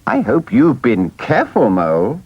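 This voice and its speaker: A man's voice: mid-range voice, wildly swooping pitch, normal speed, breathy edge, dry recording, highs cut off. A man voicing a fussy, anxious male rat who corrects others and busies himself.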